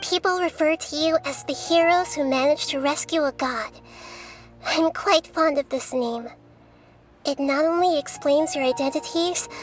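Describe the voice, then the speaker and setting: A woman voicing a small, childlike but wise goddess in a video game, speaking in a gentle, measured, formal way. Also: sits high. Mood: grateful, tender.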